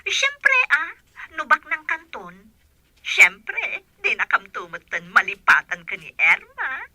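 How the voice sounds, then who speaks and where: Casting: woman to play a giggly scheming party-girl friend, Filipino accent, high sharp voice, coaxing, radio drama style. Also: huge pitch swings, slow pace, exaggerated voice. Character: scheming, fun-loving female friend, pushy and mischievous. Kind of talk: amateur audio drama acting.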